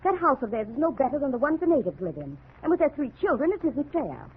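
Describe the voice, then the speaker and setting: Concerned pleading wife, animated intonation, dramatic vintage radio play, thin noisy recording. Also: female voice, high voice, fast talker, phone-quality sound. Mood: concerned, reproachful. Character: concerned, reproachful wife who stands her ground. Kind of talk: old-time radio drama acting.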